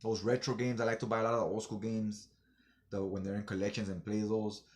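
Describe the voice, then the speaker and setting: A man's voice: on the low side, little pitch movement, fast. Laid-back talking man, casual vlog reviewer. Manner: slangy, informal fan talk. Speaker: comic book haul reviewer.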